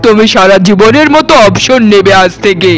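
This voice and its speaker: A man's voice: high-pitched, lively intonation, moderate pace, a bit bright, faint music behind, low-quality recording. A man voicing a proud, doting but harsh landlord father in a theatrical, declaiming cartoon style. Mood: stern, angry. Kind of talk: dramatic cartoon voice acting.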